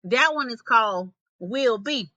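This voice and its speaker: A woman's voice: fairly high pitch, lively intonation, a little slow, sharp tone, no echo, dull audio. A woman voicing a knowing, sly little hare in a folktale, giving folksy instructions.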